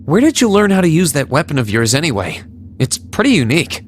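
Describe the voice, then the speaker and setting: A man's voice: on the high side, very animated, quick pace, slight background noise. An actor playing a polite, earnest and cautious young man in a video game, speaking a little hesitantly. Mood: polite, surprised.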